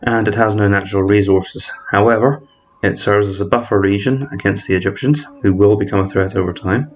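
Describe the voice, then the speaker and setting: A man's voice: on the low side, fairly even intonation, brisk, highs cut off, faint music behind. A man giving methodical commentary over a strategy game, thinking aloud and correcting himself mid-word. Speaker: strategy game let's-player. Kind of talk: explanatory gameplay commentary.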